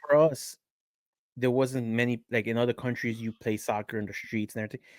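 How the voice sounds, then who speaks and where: A man's voice: medium pitch, level tone, a little fast, no echo. New York man chatting on a dry-sounding podcast. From Queens, New York City. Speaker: podcast co-host. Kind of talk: casual sports podcast chat.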